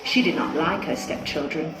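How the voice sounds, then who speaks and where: A woman narrating a scripted children's story in an expressive, dramatic manner. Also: deep voice, normal speed. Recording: echoey with music behind.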